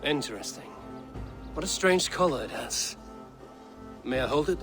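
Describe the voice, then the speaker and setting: An actor playing a proud, steady Viking clan leader in a video game, speaking with formal, measured oratory. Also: male voice, mid-range voice, close to an everyday voice. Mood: curious. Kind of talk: video game cutscene acting. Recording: long hall reverb, music behind.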